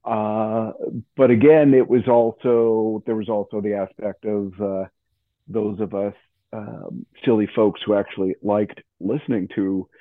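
Halting lower-voiced man on phone line. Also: steady tone, no echo. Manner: hesitant, halting phrasing. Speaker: old-time radio collector. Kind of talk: casual chat. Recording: thin phone-like line, dry.

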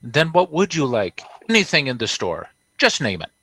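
A man's voice: mid-range voice, very animated, moderate pace, bright, forceful tone, breathy edge, dry recording, heavily acted. A man playing an effusive, formal store boss in a radio comedy, pompous and emphatic. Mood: gracious. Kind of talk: old-time radio comedy acting.

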